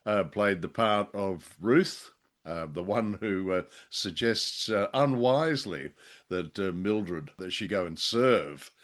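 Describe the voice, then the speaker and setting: Low-voiced Australian man in conversation. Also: animated, slow. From Perth, Western Australia. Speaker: podcast co-host. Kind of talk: conversational radio drama review.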